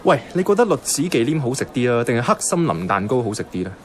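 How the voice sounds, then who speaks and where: Bashful, quick-talking Cantonese man actor. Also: medium pitch, very animated, close to an everyday voice. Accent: Hong Kong Cantonese. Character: bashful man choosing a birthday cake, denying his crush. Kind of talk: radio drama dialogue.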